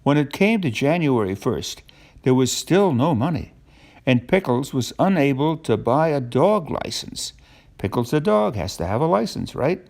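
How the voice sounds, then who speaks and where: Gravelly older man reading a storybook. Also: mid-range voice, sing-song, normal speed, a little mellow. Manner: explanatory, with simple asides for a young child. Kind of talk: reading a children's storybook aloud with asides.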